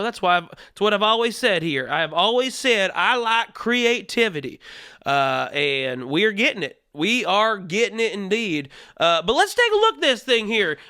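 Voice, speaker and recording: A man's voice: high-pitched, pushed and bright, clear, resonant tone, no echo, studio quality. A man hosting a comedy show in a put-on Southern US accent, talking casually in a crude, profane, joking monologue.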